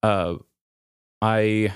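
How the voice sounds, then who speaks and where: A man hosting a podcast, talking candidly and personally in a hesitant, halting way that often interrupts itself. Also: lowish voice, steady tone, clean tone, dry recording, studio quality. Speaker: podcast host.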